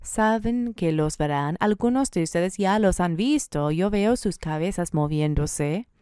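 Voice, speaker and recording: A woman's voice: on the low side, lively intonation, a little slow, dark tone, clear, resonant tone, no echo, studio quality. A woman in her sixties from Colorado speaking on a panel, blunt and emphatic, with rising rhetorical questions, into a handheld mic in a conference room. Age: 60s. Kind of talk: public panel talk. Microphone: handheld microphone.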